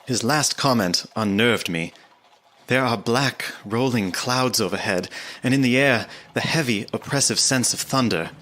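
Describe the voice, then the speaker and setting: A man's voice: medium pitch, unhurried, pushed and bright, a little hiss or hum. An actor playing an earnest, apprehensive young English clerk narrating his journal in a gothic audio drama.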